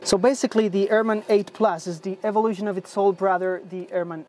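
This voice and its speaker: A man's voice: high voice, fairly even intonation, a little fast, darkish tone. A young male pilot hosting his own aviation channel, reviewing a headset to camera in an upbeat, scripted way from inside an aircraft cockpit. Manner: upbeat, scripted presenter delivery. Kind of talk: product review presented to camera.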